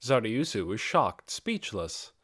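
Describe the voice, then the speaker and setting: A man reading a fantasy audiobook as narrator, in a measured and expressive dramatic storytelling style. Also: lowish voice, breathy edge, no room sound, studio quality.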